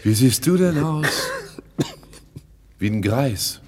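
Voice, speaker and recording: A man's voice: medium pitch, animated, slow pace, noisy background. An actor playing a slick, self-important small-time crook turned businessman, pompous and self-satisfied, in a radio play. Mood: astonished. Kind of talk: 1960s German radio play acting.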